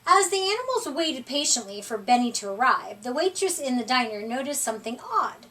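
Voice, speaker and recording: A woman reading a children's story aloud in an expressive, deliberate way, recorded at a distance from the microphone. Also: high voice. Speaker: storybook reader.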